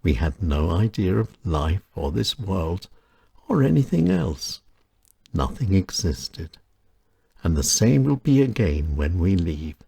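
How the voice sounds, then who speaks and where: A man hosting his own show, reading his own reflective essay in a measured, solemn manner. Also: low-pitched, sing-song, gravelly, mellow, slightly rough, no room sound. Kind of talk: scripted reflective narration.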